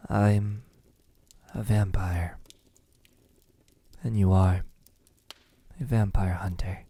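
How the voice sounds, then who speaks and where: A man playing a centuries-old vampire, lovesick and possessive, in a soft, intimate ASMR roleplay with halting, tender delivery that often drops to a whisper. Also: sits low, steady tone, full character voice. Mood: longing, possessive. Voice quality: breathy, soft, husky. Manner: slow, hesitant, halting, tender.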